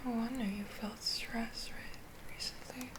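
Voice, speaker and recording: A woman playing a gentle, reassuring witch healer in a soft whispered ASMR roleplay, soothing and a little hesitant. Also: a little high, fairly even intonation, a little slow, lightly acted. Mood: grave, focused. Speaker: ASMR performer.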